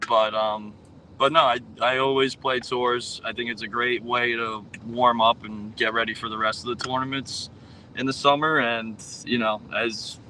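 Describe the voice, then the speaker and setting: A man in his late twenties answers casual podcast questions with a bright, forceful tone. He hesitates often, filling gaps with ums and you knows. Faint noise sits behind him. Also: mid-range voice, flat delivery, normal speed, rough recording. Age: 20s. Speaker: guest, pro roller hockey player. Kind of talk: casual interview chat.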